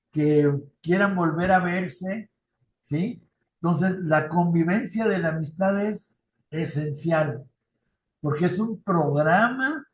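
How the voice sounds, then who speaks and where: Mexican-accented middle-aged male trainer, chatty, fairly high voice, echoey room over a video call. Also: slight background noise. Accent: Mexican Spanish. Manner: conversational, frequent tag questions and personal anecdotes. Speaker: youth ministry trainer. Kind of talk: online formation talk.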